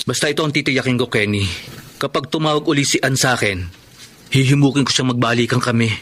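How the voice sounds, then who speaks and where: A man's voice: mid-range voice, quick pace, a bit bright, lightly acted. An actor playing a heartbroken, earnest young man in a radio drama, speaking with emotional yearning. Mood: determined. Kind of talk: Tagalog radio drama acting.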